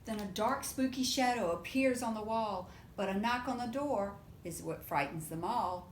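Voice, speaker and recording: A woman reading a picture book aloud to young children in an expressive, animated way, often putting questions to her listeners. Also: normal speed. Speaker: preschool storytime reader. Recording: roomy echo with faint low rumble.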